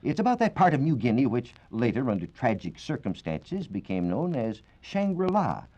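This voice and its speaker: An American man explains science in a formal, scripted radio manner from the 1940s. His pitch moves widely as he lays out each point in measured phrases. The recording is dull and narrow, like an old broadcast. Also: very animated, mellow. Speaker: science reporter. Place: radio studio.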